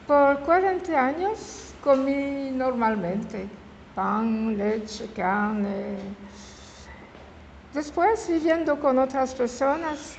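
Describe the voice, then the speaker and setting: Older swiss lady w/ french accent, talks real slow, keeps stopping to find words, kinda high voice, echoey hall. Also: a little mellow, highs cut off. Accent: French-speaking Swiss. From Switzerland. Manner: halting, searches for words, corrects herself mid-word. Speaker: guest speaker. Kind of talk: informal public talk. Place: meeting hall in an old house. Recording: reverberant hall with faint room noise.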